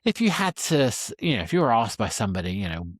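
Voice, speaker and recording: A man's voice: medium pitch, big pitch swings, quick pace, slightly rough, no echo, clean studio sound. A man hosting a conversational podcast interview, talking in a discursive, emphatic way with jokey asides. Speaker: podcast host.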